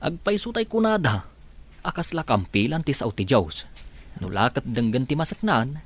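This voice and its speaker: A man's voice: mid-range voice, big pitch swings, normal speed. A man playing a gossipy, good-natured household onlooker in an Ilocano radio drama, with an Ilocano accent. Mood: amused, moved.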